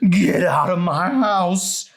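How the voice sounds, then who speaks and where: A man voicing a deranged, terrified and hostile old grandmother in an audiobook, crying out accusations in a shrill, drawn-out wail. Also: creaky, exaggerated voice. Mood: hostile, accusing. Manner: shrill, wailing, dragged-out cries and accusations.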